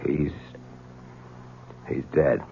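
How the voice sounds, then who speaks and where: A desperate reporter, pleading, 1970s radio drama, muffled broadcast sound. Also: male voice, drawn-out words. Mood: shaken. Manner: urgent, pleading, shaken. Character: desperate male reporter facing a killer. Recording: muffled old radio broadcast with faint noise.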